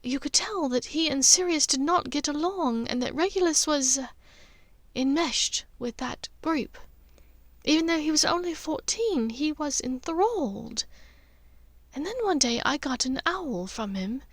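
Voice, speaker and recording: Lady doing a posh noble mom for an audiobook, high soft voice, wistful, kinda hesitant, really dry recording. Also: animated, measured pace, no room sound. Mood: somber, confiding. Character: aristocratic mother, gentle, wistful, confiding old family memories. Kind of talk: dramatized audiobook character dialogue.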